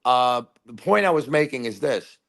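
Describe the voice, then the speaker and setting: A man calling in to a sports talk show, telling loud, rambling fan stories and giving his game predictions. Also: mid-range voice, normal speed, gravelly. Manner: rambling, animated storyteller. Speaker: caller. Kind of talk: casual phone-in chat.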